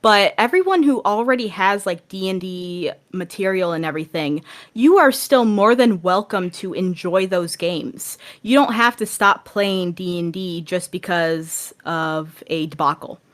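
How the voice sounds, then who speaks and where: A woman's voice: fairly low pitch, expressive, a little slow. A woman talking casually as a podcast guest, emphatic and encouraging, repeating her points for stress.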